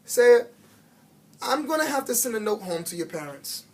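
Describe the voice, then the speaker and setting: A stern but caring woman teacher from a children's storybook, acted out by a man reading aloud. The voice is husky and lifted in pitch, pressing each point loudly and emphatically as it coaxes. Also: very animated, normal speed, exaggerated voice. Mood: firm, reasoning. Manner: loud, emphatic, coaxing.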